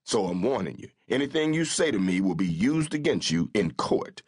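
A man's voice: on the low side, sing-song, normal speed, a little mellow, breathy edge. A man voicing a stern, tough federal marshal who gives threatening orders. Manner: commanding, threatening.

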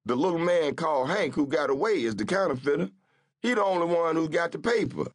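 Male narrator playing a frightened suspect, high and husky, desperate and begging. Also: lively intonation, brisk, dry recording, clean studio sound. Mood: pleading. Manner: desperate, begging, broken grammar. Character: frightened pleading middle-aged man.

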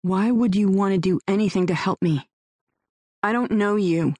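A woman narrator voicing a young woman on the run who speaks in a fierce, flat, defiant way. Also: medium pitch, normal speed, no room sound, lightly acted.

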